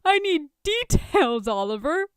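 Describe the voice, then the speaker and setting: A woman's voice: sits high, big pitch swings, unhurried, no room sound, studio quality, voice pushed up. A woman voicing a tipsy, brash male billionaire in a fan fiction reading, teasing and mock-dramatic. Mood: gasping, theatrical.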